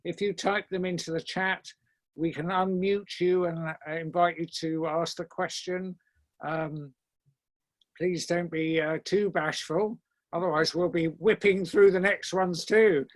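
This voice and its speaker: A man hosting an online event informally, speaking in a hesitant, halting and courteous manner. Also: sits high, fairly even intonation, dry recording. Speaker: event host. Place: online video call.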